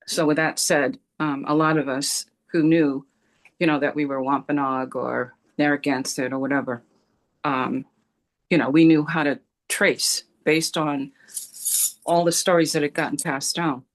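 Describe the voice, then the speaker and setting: A woman's voice: deep voice, flat delivery, measured pace, rough recording. A woman from Rhode Island speaking in a community discussion on a video call, hesitant, with many ums and restarts.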